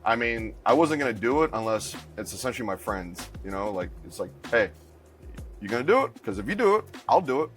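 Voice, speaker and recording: A man from Miami with a lowish voice talks casually as an interviewed bar owner. He is laid-back and slangy, like someone chatting with a fellow bartender. Soft music plays behind him in the bar. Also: big pitch swings, brisk. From Miami, United States. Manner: laid-back, slangy, says dude and bro. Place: inside a bar.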